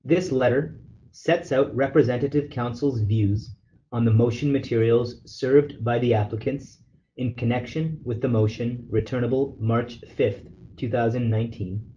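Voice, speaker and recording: A man lawyer reading a formal legal letter aloud on a conference call, in a measured, formal way. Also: mid-range voice, steady tone, warm tone. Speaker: lawyer, representative counsel leading a call. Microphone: telephone conference call. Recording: dull conference phone call with noise.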